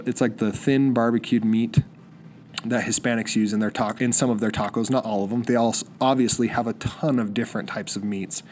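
A man's voice: medium pitch, darkish tone, slight background noise. Sniffly young man rambling on a podcast. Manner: rambling, enthusiastic, many restarts. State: sniffly with a cold. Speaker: podcast host. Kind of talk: solo casual podcast monologue.

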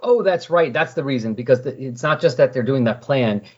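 Man, husky voice, podcast host, casual rambling recap, self-correcting, muffled lo-fi sound. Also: medium pitch, lively intonation, a little fast, a little mellow. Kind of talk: casual chat. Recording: dull, lo-fi sound.

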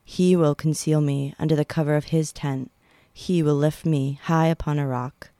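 Creaky, mid-pitched voice reading scripture. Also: fairly even intonation, normal speed, dark tone, no room sound. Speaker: guest scripture reader. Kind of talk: solemn reading of a Bible psalm.

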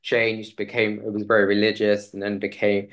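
A man speaking as an interview guest in a conversational way, hesitant and halting, often rephrasing as he goes. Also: lowish voice, monotone, fairly bright tone.

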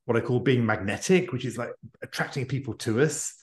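A man's voice: medium pitch, fairly quick. A middle-aged man speaking earnestly and reflectively as an interview guest. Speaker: podcast guest, executive coach and author. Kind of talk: conversational interview. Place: indoor room, remote video call. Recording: echoey room on a remote call.

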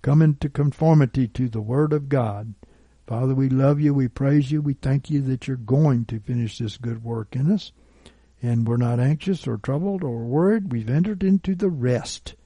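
A male Bible teacher gives an informal sermon that moves into prayer. His voice is mellow and rough-edged, with very little room sound. He is earnest and plain-spoken. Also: mid-range voice, moderate pace, no echo.

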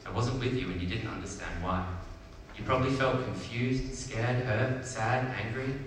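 A man voicing a big parent rabbit from a storybook, soft, tender, patient and apologetic. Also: low voice, flat delivery, a little fast. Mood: sorry, soothing. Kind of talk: storybook read aloud. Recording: echoey hall, distant mic, faint noise.

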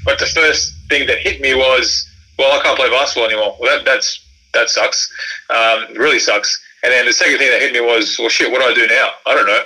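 A man's voice: medium pitch, brisk, bright, forceful tone, breathy edge, low-quality recording. A man telling his story as a podcast guest in a candid, conversational way, often repeating words for emphasis. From Australia, born in Croatia. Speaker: podcast guest, former professional basketball player.

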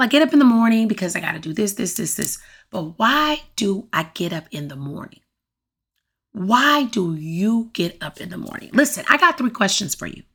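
A middle-aged woman hosting a podcast, delivering a motivational monologue with emphatic, repeated rhetorical questions and a voice that turns raspy at times. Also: on the high side, a bit bright. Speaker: podcast host.

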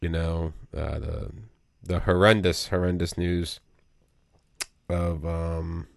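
Brief: deep, slightly nasal male co-host, casual rambling delivery, dry close sound. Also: little pitch movement, slow pace, gravelly, no room sound. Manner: rambling, full of you know and um. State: a little nasal from allergies. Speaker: podcast co-host. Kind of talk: casual podcast chat. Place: small home studio.

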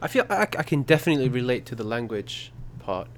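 A man with an everyday middle-pitched voice. He talks in an easygoing, thoughtful way, telling small stories in relaxed conversation. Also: fast talker. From United Kingdom. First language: English. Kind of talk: casual podcast chat.

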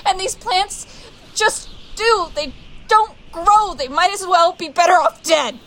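A woman's voice: very high voice, takes it slowly, fairly bright tone, full character voice. A woman acting in an audio drama as an edgy, defensive young woman who leans on pills. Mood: bleak, agitated. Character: edgy, defensive young pill-dependent woman with bleak thoughts.

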